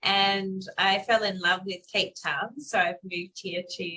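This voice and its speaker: Young Australian woman with a South African tinge, bright forceful voice, easygoing and laughing guest on a video call. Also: medium pitch, steady tone, unhurried, poor audio. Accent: Australian with South African influence. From Perth, Western Australia. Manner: chatty, laughs often, frequent fillers. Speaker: interview guest. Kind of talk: casual conversational interview.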